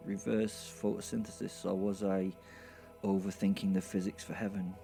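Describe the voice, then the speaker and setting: Male actor as irreverent werewolf narrator, low dark voice, hesitant wry asides, horror-comedy audio drama, faint music behind. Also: flat delivery, moderate pace, vocal fry. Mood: sardonic. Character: irreverent, sardonic, foul-mouthed British male werewolf narrator.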